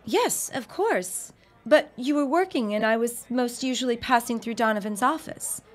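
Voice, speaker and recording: An actress playing a poised, curious young bride-to-be in a period audio drama, polite, refined and probing. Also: female voice, on the high side, moderate pace, natural voice. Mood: politely probing.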